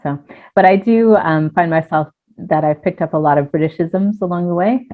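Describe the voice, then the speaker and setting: A woman's voice: fairly low pitch, normal speed. A woman from the United States, a coach talking as a podcast guest, hesitant with many ums, heard over a thin remote line. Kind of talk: casual interview chat. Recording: tinny phone-like line.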